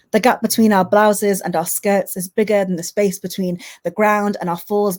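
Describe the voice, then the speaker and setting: A woman poet, sitting at a mid pitch. Between poems she talks to her online audience in a halting way, full of "um"s. When she reads, her delivery turns rhythmic and impassioned. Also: warm tone. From Manchester, England. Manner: hesitant, filler-heavy chat between poems. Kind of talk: spoken word poetry reading with chat to an online audience.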